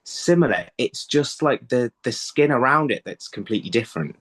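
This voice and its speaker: A man in his thirties giving conversational interview answers over a video call, haltingly, thinking aloud and repeating words. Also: mid-range voice, expressive, fairly bright tone. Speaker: writer being interviewed. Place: indoor room, video call. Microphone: phone on a video call.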